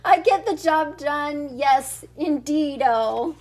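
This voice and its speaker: Woman playing an excitable high-pitched boastful boy kitten. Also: takes it slowly, a little hiss or hum. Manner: exclamatory, singsong, playful mock-Spanish flourishes.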